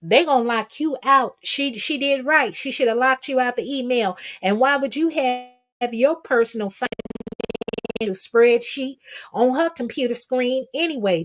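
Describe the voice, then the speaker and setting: A woman's voice: high voice, expressive, a little slow. A woman hosting casual gossip commentary, talking to her viewers in a sassy, folksy, emphatic way and repeating phrases for effect. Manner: folksy, colloquial, addresses listeners with pet names. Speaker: host of a commentary livestream.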